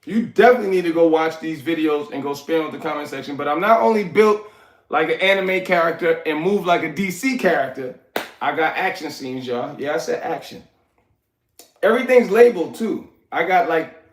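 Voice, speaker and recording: A man, hosting a casual livestream, giving hyped shout-outs to viewers and rambling in streetwise slang. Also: fairly high pitch. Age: 40s. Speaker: livestream host. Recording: echoey room.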